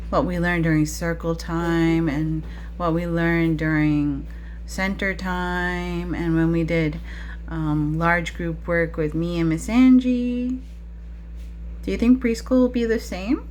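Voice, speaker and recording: A woman's voice: on the low side, steady tone, takes it slowly, a little mellow, slight background noise. A woman preschool teacher talking to young children during a picture-book read-aloud, with frequent questions in a teacherly way.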